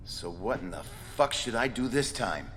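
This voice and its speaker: An actor playing a tough, foul-mouthed, short-tempered soldier in a video game, with a gruff, threatening, slangy delivery. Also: male voice, medium pitch, expressive, moderate pace, a bit bright, big-room sound, slight background noise, lightly acted. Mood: irritated, threatening. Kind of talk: video game action acting.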